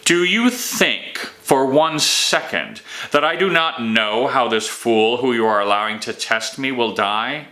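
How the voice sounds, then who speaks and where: A man's voice: medium pitch, unhurried, few pauses, pushed and bright, pitched above the performer's own voice. A man voicing a furious, contemptuous wizard in a dramatic storybook reading, scolding and imperious. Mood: cold anger. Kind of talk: dramatic audiobook dialogue.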